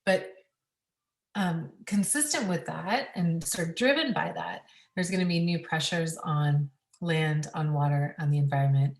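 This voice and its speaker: A woman speaking on a panel about food systems, thoughtful and full of pauses and ums. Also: low-pitched, reverberant, distant mic. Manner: thoughtful, many ums and restarts. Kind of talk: webinar panel talk.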